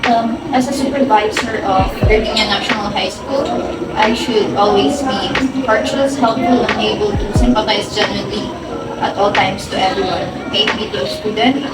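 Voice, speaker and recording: A woman answering interview questions as a school supervisor, measured and with fillers. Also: mid-range voice, fairly even intonation. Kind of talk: scripted answers. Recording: echoey, distant, loud music behind.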